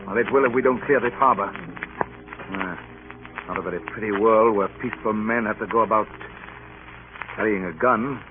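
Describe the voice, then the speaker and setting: An actor playing a grave, weary sea captain in an old radio drama, with a measured, solemn delivery. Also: male voice, fairly low pitch, over music, close to an everyday voice. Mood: wary, grave. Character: grave, weary male sea captain narrating his past. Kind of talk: 1940s radio drama acting. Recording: muffled, noisy old radio broadcast.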